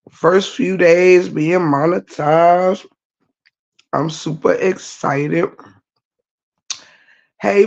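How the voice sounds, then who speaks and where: A woman with a naturally low voice that is scratchy today, chatting laid-back to viewers on a livestream from her bed. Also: vocal fry. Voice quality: low-toned voice. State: scratchy voice after a night of singing. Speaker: livestream host. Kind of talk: casual chat greeting viewers. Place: lying in bed at home. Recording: dull lo-fi stream audio.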